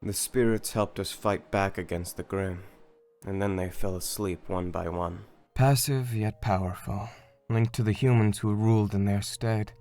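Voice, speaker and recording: A man playing a calm, earnest mentor of a spiritual order in an animated fandub, speaking in a measured, solemn way. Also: lowish voice, faint music behind. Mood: solemn storytelling.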